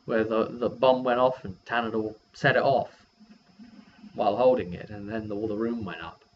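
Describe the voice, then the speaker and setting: Man rambling, slightly low-fi recording. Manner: rambling, thinking aloud. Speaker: tabletop game master. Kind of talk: casual vlog recap of a role-playing session. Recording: dull lo-fi sound with background noise.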